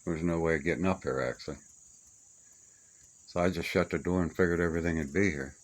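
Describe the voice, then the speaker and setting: Older man, very low-pitched voice, plainspoken and folksy, casual reminiscing, unscripted talk. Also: quick pace. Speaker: radio hobbyist showing his collection. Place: small upstairs room being stripped to studs.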